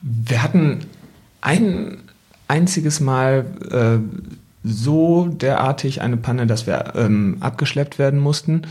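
Young male guest, medium pitch, casual, reflective storyteller with hesitant delivery. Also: animated, takes it slowly, a little mellow, husky. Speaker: podcast guest recalling family travels.

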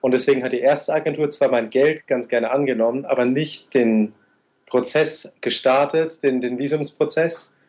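A man's voice: medium pitch, normal speed, darkish tone. A young man in his twenties answers interview questions at length. He talks steadily and goes into a lot of detail, with a mid-range voice. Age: 20s. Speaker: interview guest, motorcycle traveler.

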